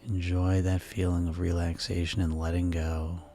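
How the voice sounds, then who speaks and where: Deep low-voiced guy doing sleep hypnosis, calm and slow, music playing behind. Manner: slow, soothing, repetitive guidance.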